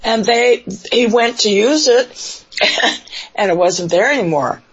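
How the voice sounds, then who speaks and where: A woman's voice: fairly high pitch, expressive, takes it slowly, husky. A woman in casual conversation, responding in short, affirming phrases. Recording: noisy, dull lo-fi call audio.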